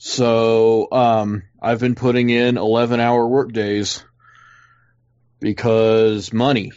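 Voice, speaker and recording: A man chatting casually on a podcast in a relaxed way, with frequent ums. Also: medium pitch, slow, smooth. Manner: relaxed, filler-heavy. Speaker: podcast co-host. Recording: dull lo-fi sound.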